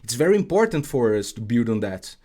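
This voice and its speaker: A man's voice: medium pitch, sing-song, warm tone. A man from Brazil with a Brazilian Portuguese accent, a game designer talking in a casual podcast conversation, often stopping and restarting his sentences. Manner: halting, many restarts and fillers.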